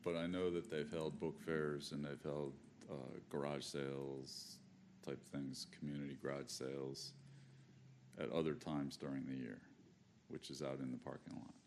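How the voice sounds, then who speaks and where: A man with an extremely deep voice at a township meeting. He thinks aloud and weighs options with dry humor. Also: a little mellow, slight background noise. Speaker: township supervisor. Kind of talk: meeting discussion.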